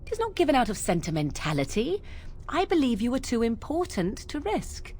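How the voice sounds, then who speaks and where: Haughty, lively-pitched witch. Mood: defensive. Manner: haughty, deliberate, formal. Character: proud, guarded witch with aloof, archaic speech. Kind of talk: fantasy game dialogue acting. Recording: faint background noise.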